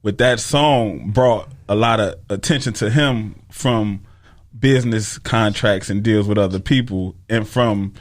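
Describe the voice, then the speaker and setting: Man, low-pitched, casual radio panel talk, halting phrasing, seeks agreement. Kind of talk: casual chat.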